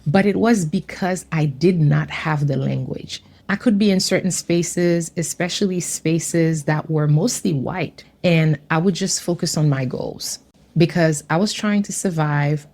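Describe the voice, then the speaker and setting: A woman from Haiti giving an earnest, measured personal testimony. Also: low voice, dark tone.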